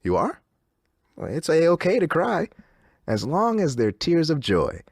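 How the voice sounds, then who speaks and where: An actor playing an unsettlingly upbeat, controlling radio DJ, with theatrical patter and erratic, insistent cheer. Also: male voice, on the high side, wildly swooping pitch, a little slow, a little mellow, slightly rough, no echo, studio quality, exaggerated voice. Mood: agitated, insistent.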